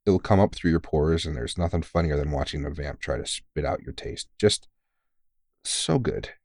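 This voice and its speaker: Man acting as seasoned vampire hunter, low voice, weary, kindly mentor, teasing and sweary, comfort audio roleplay, close dry sound. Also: normal speed, a little mellow, dry recording. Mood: tired, teasing. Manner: informal, teasing, reassuring, sweary. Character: tired, kindly veteran male vampire hunter mentoring a rookie.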